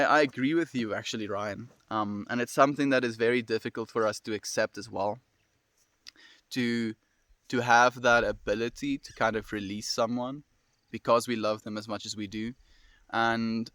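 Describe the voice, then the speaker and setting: A young man with a South African accent chats with his stream viewers, talking quickly and answering them in a friendly, easygoing way. His voice sits at an ordinary middle pitch. The sound is dry and close, with no room echo. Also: steady tone, fairly bright tone. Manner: fast-talking, friendly, reads and answers comments. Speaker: livestreamer. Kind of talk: casual chat with viewers.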